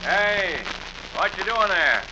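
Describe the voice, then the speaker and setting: An actor playing a gruff, officious motorcycle cop in an old radio drama, with brusque, slangy, folksy talk. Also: male voice, sits high, lively intonation, slow pace. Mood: brusque suspicion. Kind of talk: 1940s radio drama acting. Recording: muffled old radio recording, very noisy.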